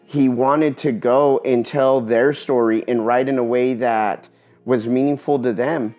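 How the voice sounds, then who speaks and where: A man giving a personal talk in an earnest, reflective way, pausing often between phrases. Spanish is his first language. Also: mid-range voice, steady tone, darkish tone, dull audio, faint music behind. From Eastern Washington, USA.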